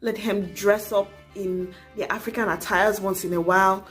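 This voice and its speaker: Woman, young adult, earnest, exhorting vlog talk, middle-pitched voice. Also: fairly even intonation, moderate pace, faint music behind. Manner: earnest, exhorting, frequent "you know". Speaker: vlogger. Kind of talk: casual advice vlog to camera.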